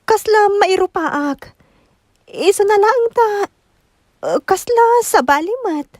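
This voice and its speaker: A woman's voice: very high voice, lively intonation, slow, darkish tone, no room sound, clean studio sound, full character voice. An actress playing a distraught, long-suffering young mother and wronged wife in a radio drama, with a Filipino Ilocano accent. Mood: subdued, sorrowful. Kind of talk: emotional radio drama acting.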